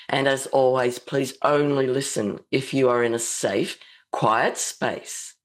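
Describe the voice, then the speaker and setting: A woman with a slightly croaky voice hosting a psychic radio show and leading a slow, soothing guided meditation. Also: very deep voice. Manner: slow, soothing, gently spiritual.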